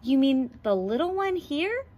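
A woman's voice: high voice, big pitch swings, measured pace, darkish tone, lo-fi sound, voice pushed up. A woman voicing an eager, earnest young child learning to be a lion.

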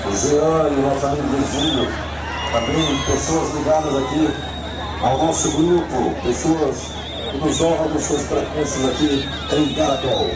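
A man calls guests onto the stage at an outdoor rally, his shouted introductions formal and a touch high. He sounds far from the mic and echoey, and a huge crowd roars around him. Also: fairly even intonation, measured pace, very noisy. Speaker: rally announcer. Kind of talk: political rally announcing. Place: outdoor town square rally. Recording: distant echoey voice in a roaring crowd.

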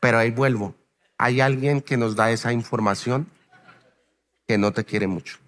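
A man's voice: mid-range voice, steady tone, moderate pace. A man in his thirties with a Colombian accent, hosting a late-night talk show interview and asking teasing, playful questions. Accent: Colombian Spanish.

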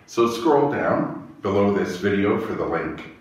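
In a very echoey room, a little far from the mic, a middle-aged man leads worship. He reads in a reverent, measured way, like a minister at prayer. His voice is low. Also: lively intonation. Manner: slow, measured, reverent delivery. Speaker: church minister leading worship. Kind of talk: reading poetry and prayer in worship. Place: small church chapel, indoors. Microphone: lapel microphone. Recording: echoey room, distant, faint hiss.

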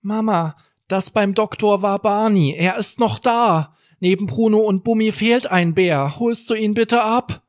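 Man doing a high-voiced pleading little boy. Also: measured pace, few pauses, no room sound, studio quality. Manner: pleading, tearful. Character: upset little boy missing his teddy bear.

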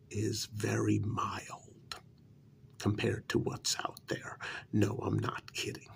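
A man playing a flustered, apologetic talent agent in an ASMR role-play, speaking softly in a breathy, gravelly half-whisper with hesitant phrasing. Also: lowish voice, heavily acted. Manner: slow, hesitant, halting. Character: apologetic, easily flustered male talent agent for supernatural creatures.

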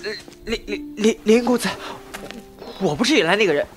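An actor plays a young man who is crude and easily flustered in an audio drama. His pitch leaps and drops freely as he exclaims in slang. Music plays clearly behind him. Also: lively intonation, a little fast, natural voice.

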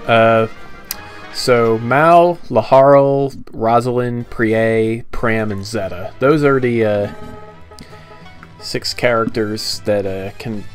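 A man giving casual, halting commentary on a video game, his phrases often trailing off. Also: lowish voice. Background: video game music.

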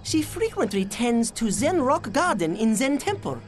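A man's voice: extremely high pitch, very animated, moderate pace. An actor playing an earnest, lovelorn male scientist in a video game, with a Japanese accent and formal, clipped grammar. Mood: lovelorn, hopeful. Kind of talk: video game cutscene acting.

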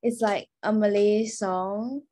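A young woman from Singapore, speaks casually at a middling pitch. She leans on fillers such as "like" and "uh" as she explains things on a video call. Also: steady tone, slow, mellow, a little hiss or hum. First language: Chinese. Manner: filler-heavy, uses "like" a lot.

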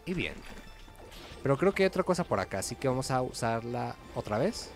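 A man's voice: medium pitch, very animated, fairly quick. A man giving casual, playful game playthrough commentary as the host. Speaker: gaming video host. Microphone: voice-over mic over game audio. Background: game music.

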